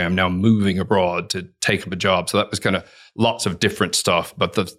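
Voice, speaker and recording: A man with a very deep voice talks things through slowly and thoughtfully, circling back and restarting his sentences as he goes. He sounds like a guest on a conversational podcast, telling stories about his work. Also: a bit bright. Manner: reflective, rambling with frequent restarts and fillers. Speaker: interview guest, consultant.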